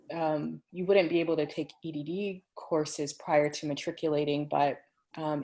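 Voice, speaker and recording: A woman answering questions in an online webinar, explaining at a measured pace with frequent ums. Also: low voice, mellow, rough recording. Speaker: program faculty answering questions. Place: home office on a video call. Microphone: computer microphone.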